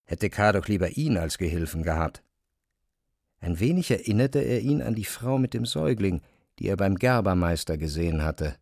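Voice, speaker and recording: Low-voiced male literary narrator, close and dry. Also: expressive, normal speed, gravelly, darkish tone, no room sound.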